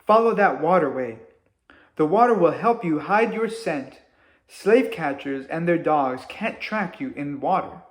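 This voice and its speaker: A man voicing an earnest peg-legged guide in a children's story, giving emphatic, drawn-out instructions. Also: fairly high pitch, lively intonation. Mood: earnest, instructive.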